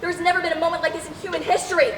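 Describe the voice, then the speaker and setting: A woman playing an excitable radio reporter in a melodramatic stage radio drama about disaster. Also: very high voice, very fast, recorded from a distance. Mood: dramatic awe. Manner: melodramatic, breathless announcing. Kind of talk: mock 1930s radio drama acting on stage. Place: stage in a large hall. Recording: echoey noisy hall.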